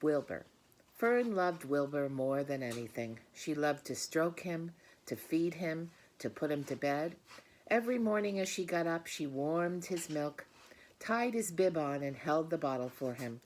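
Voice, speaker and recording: A woman's voice: low-pitched, expressive, measured pace, a little mellow, a little hiss or hum. A woman reading a children's book aloud, speaking directly to her listeners with explanatory asides. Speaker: storybook reader.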